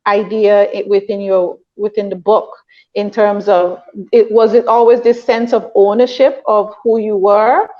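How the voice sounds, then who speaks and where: A woman's voice: mid-range voice, fairly even intonation, unhurried, dull audio. Woman host asking long questions. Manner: probing, builds long questions. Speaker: interview host. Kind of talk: interview questions.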